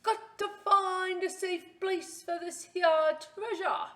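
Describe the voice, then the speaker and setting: Lady doing a big loud pirate captain, high and slow, all drawn-out pirate talk, storybook style. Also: fairly even intonation, full character voice. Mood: bellowing. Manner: exaggerated pirate talk, drawn-out bellowing lines. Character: boisterous male pirate captain, loud and swaggering.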